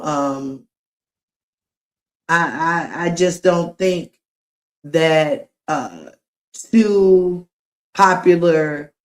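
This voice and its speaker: A woman chatting on her live stream, rambling with lots of fillers, talking straight to her viewers as y'all and teasing the people she calls out. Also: on the low side. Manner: rambling, filler-heavy, addresses chat as y'all. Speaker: livestreamer.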